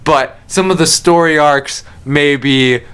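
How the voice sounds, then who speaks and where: A man's voice: a little high, takes it slowly, fairly bright tone, smooth. A young American man in casual podcast conversation, speaking earnestly and qualifying his points as he goes. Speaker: podcast co-host.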